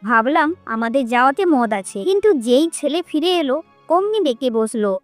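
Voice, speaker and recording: A woman acts out a jealous young wife from a cartoon family drama. Her voice is high and closely recorded, with little room around it. She complains and scolds bitterly, with faint music underneath. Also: animated, fairly quick, no echo. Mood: jealous, complaining. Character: jealous, bitter young married sister-in-law. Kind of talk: Bengali cartoon fairy-tale voice acting.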